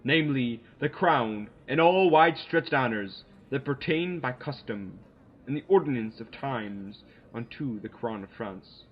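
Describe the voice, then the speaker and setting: Through a muffled, lo-fi recording comes a man acting a proud English duke in a Shakespearean radio play. He declaims his king's threats deliberately, with weighty dramatic pauses, at a middling male pitch. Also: lively intonation, slight background noise, lightly acted. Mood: stern, formal. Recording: dull lo-fi amateur audio.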